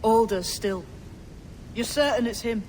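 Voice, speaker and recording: A shrewd young pirate in disguise, acted by a woman, speaks in a high, boyish register. The delivery is guarded yet earnest and colloquial, in the manner of a dramatic video game, with faint environmental noise behind it. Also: a little slow, lightly acted. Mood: guarded, brisk. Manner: plain-spoken, colloquial.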